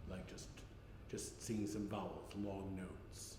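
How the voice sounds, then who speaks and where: Composer talking to a group in a big echoing hall. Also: male voice, deep voice, steady tone, slow, warm tone. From Canada. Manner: rambling, self-correcting, conversational. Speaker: composer giving a workshop talk. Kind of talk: informal talk to a group. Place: large echoey room with an audience. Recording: distant echoey hall recording, room noise.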